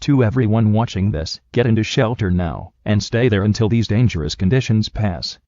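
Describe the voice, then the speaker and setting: Man acting as mellow, low-voiced storm news anchor, muffled. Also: normal speed, no echo, close to an everyday voice. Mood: urgent, concerned. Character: male TV storm news anchor, urgent but composed. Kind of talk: scripted breaking weather news. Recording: dull, lo-fi, dry sound.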